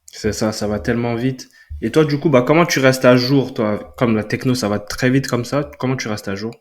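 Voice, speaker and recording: A man from France hosts a tech interview. He speaks at an average pitch and asks his questions in a friendly, conversational way. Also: a little fast. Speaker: podcast host. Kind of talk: casual interview chat.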